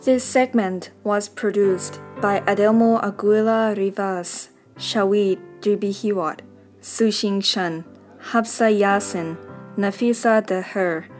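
A woman announcer giving a steady, formal reading of the production credits. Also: mid-range voice, slow pace. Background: faint instrumental music.

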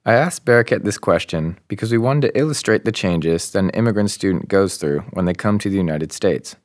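A young man co-hosting a student podcast, reading his introduction and narration from a script in an even way. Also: sits low, fairly quick, dry recording, studio quality.

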